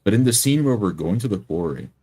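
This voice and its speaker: A man's voice: lowish voice, animated, fast talker, warm tone, slightly rough. A man talking casually as a tabletop player, raising points carefully and a little tentatively. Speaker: tabletop role-playing player. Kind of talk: casual chat.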